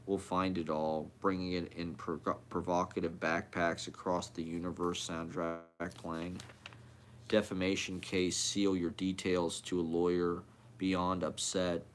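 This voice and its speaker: A man's voice: deep voice, flat delivery, measured pace. A man reading poetry aloud as a poet, in short clipped fragments delivered one after another.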